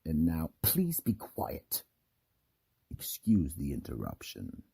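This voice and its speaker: A man narrating a scripted radio mystery drama in a theatrical, deliberate storytelling manner. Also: very deep voice, wildly swooping pitch, gravelly, dark tone, breathy edge, no room sound. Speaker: narrator and host.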